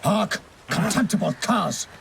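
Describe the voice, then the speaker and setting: A man's voice: sits high, expressive, unhurried, creaky, noisy background, heavily acted. A man voicing a noble, idealistic knight errant in a video game, speaking in formal, archaic, oratorical phrases. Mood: loud reprimand.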